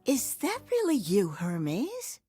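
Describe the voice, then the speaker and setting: A woman's voice: a little high, wildly swooping pitch, slow, mellow, husky, soft background music, heavily acted. A woman voicing an eccentric, sentimental aristocratic lady in a visual novel, gushing and childlike in a theatrical, sighing way. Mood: warm.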